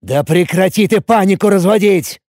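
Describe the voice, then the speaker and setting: A man's voice: high-pitched, moderate pace, a little mellow, no room sound, clean studio sound, voice pushed up. A man voicing an irritated, disbelieving fortress commander in a fantasy audiobook, barking his words. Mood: irritated, shouting.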